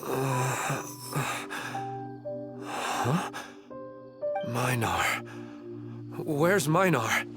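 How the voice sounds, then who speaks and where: A man's voice: mid-range voice, expressive, drawn-out words, long pauses. A man playing a wistful, devoted husband in a video game, earnest and dreamy as he longs for his wife. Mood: dazed, earnest. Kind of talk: English game voice acting. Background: music.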